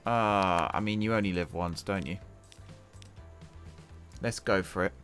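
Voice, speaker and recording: A man hosting a gaming channel. He chats casually over his gameplay and thinks aloud with self-deprecating humour, and his voice is croaky from a long day of talking. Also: sits low, unhurried, a bit bright. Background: background music.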